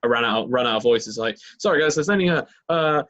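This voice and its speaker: Man, game master, casual chat, rambling, mid pitch, dull lo-fi sound. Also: expressive, brisk, a bit bright. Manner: rambling, many fillers and restarts.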